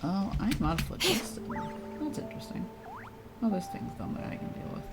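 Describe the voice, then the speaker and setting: A woman's voice: medium pitch, sing-song, fairly quick. A woman live streaming a video game, chatting casually and playfully with viewers in informal, slangy language with some swearing. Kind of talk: casual gaming livestream chat with viewers. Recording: game music behind the voice. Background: game music and sounds.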